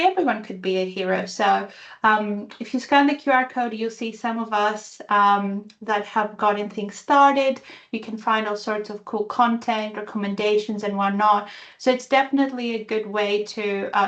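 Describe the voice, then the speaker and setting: A woman's voice: medium pitch, a bit bright, poor audio. Woman, Greek-Italian accent, enthusiastic presenter, lots of ums, video call mic. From Greece and Italy. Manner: enthusiastic, chatty, many um fillers. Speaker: guest speaker. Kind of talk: virtual user group presentation.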